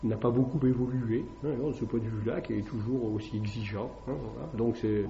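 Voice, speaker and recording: A man's voice: medium pitch, fairly even intonation, fairly quick, dark tone, slight background noise. A man, a classics teacher, giving a hesitant public talk to an evening audience, with many fillers and tag questions. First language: French. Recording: echoey dull room recording.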